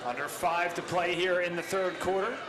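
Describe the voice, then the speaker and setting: A man calling high school football play by play in a brisk, factual manner. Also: fairly even intonation. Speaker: play-by-play commentator. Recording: noisy live stream audio.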